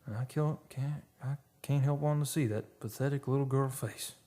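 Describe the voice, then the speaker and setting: An actor playing a haunted, obsessed oil driller confessing a horror in a halting, brooding radio monologue. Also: male voice, mid-range voice, fairly even intonation, mellow, lightly acted. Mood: anguished, horrified. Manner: halting, brooding, dramatic storytelling.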